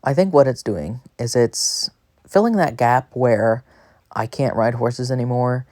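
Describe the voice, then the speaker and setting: A man's voice: mid-range voice, measured pace, darkish tone, dry recording. Chatty guy, close dry sound. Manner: self-deprecating, chatty, easily sidetracked. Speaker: gaming video creator. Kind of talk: casual rambling gameplay commentary.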